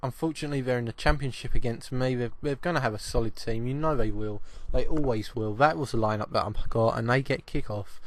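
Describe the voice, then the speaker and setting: Guy a bit croaky from a cough, chatting over his football game, mid pitch, stumbles and repeats words. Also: fast talker, hardly any pauses. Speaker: gaming channel host. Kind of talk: casual football video game commentary.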